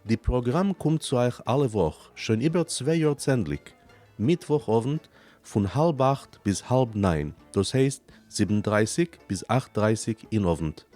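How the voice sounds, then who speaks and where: A man reading a scripted promo for a radio program in a steady, listing delivery. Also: mid-range voice, a little slow, soft background music. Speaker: station announcer.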